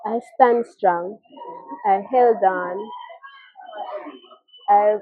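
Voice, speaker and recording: Narrowband phone-like recording, young woman, Caribbean accent, slightly husky, earnest halting personal testimony, repeated phrases. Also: mid-range voice, dry recording. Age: young adult. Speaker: mother telling her life story. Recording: muffled, narrow phone-like audio.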